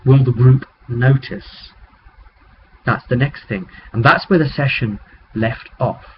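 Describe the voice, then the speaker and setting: A man talking casually and haltingly as a game master recapping a tabletop game, rambling with conspiratorial asides. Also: medium pitch. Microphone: webcam microphone. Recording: muffled webcam mic with background noise.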